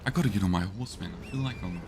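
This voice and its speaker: A man giving laid-back, deadpan commentary while playing a game, with dry self-mocking remarks. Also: sits low, rapid-fire, noisy background. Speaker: gameplay commentator. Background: loud game battle sounds.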